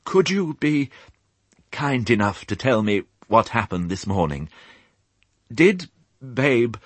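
A man voicing a proud, impatient old female sheepdog who barks out curt, gruff questions. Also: mid-range voice, very animated, takes it slowly, no echo, voice pushed up, full character voice. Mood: strained politeness. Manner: curt, gruff, barked orders.

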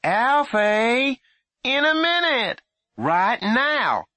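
A man's voice: high voice, animated, a little fast, sharp tone, no room sound, voice pushed up, exaggerated voice. A man voicing an impatient, nagging middle-aged mother in a storybook reading. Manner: insistent, scolding.

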